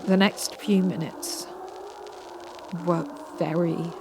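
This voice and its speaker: A woman narrating a scary story in a measured manner with dramatic pauses. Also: lowish voice, flat delivery, vocal fry. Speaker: narrator reading submitted scary stories. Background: music.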